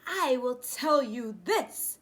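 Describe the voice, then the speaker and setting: A woman voicing a secretive, dramatic dad from a children's storybook, drawn-out, emphatic and mysterious. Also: sits high, expressive. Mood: grave, emphatic.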